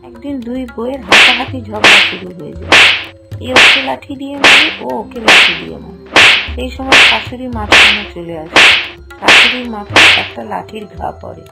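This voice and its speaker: A woman's voice: fairly high pitch, normal speed, creaky, over loud music, poor audio. A woman narrating a scripted moral tale for a cartoon.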